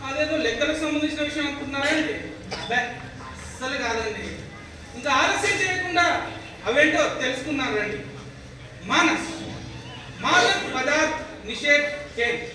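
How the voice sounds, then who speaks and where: A very high-pitched, chatty TV presenter acted by a man in a stage play. The delivery is emphatic and teasing, full of questions to the viewers. It sounds distant, in a very echoey, noisy hall. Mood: confiding, teasing. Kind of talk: theatrical scripted presentation in a one-act play. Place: echoey hall, voice picked up from afar.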